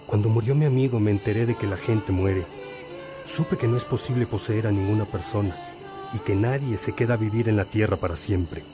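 A man's voice: fairly low pitch, fairly quick, over music, close to an everyday voice. An actor playing a wise, patient older priest who teaches his disciples reflectively in a religious radio drama. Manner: reflective, didactic.

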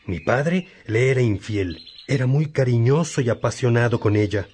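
A man playing a priest fondly and reflectively recalling his parents in a radio drama, speaking in a measured way. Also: mid-range voice, expressive, a little mellow, lightly acted. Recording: faint noise, birdsong ambience. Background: birdsong.

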